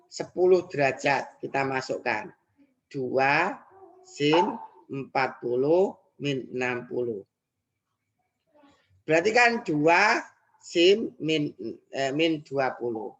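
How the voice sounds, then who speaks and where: A male high school math teacher working through exam problems step by step. He asks and answers his own questions, repeats each step, and drops into quiet muttered asides. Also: lively intonation, slow pace, pauses often, muffled recording.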